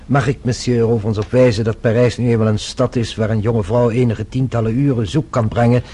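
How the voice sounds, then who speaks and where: An actor playing a formal, cautious French police inspector, measured and polite, in a radio play. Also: male voice, medium pitch, steady tone, mellow, husky, lightly acted. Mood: measured, unruffled.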